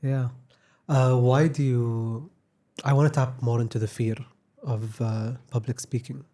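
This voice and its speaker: A man's voice: medium pitch, fairly even intonation, measured pace, warm tone. A man hosting a podcast, talking in a laid-back, loose, unscripted way during a casual conversation with his guest. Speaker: podcast host.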